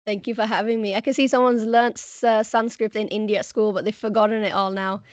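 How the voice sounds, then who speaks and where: A woman teaching the Punjabi language who talks in a chatty, enthusiastic way, with a croaky voice today, speaking on a video call. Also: mid-range voice, little pitch movement, fast, no room sound. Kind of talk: casual presentation on a video call. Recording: dull, lo-fi video call audio.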